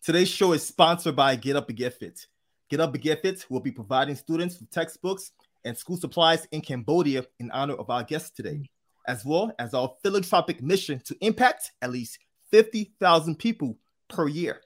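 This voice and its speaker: A man's voice: fairly high pitch, animated, moderate pace. A man hosting an interview podcast, upbeat and chatty, with frequent fillers and restarts. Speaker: podcast host.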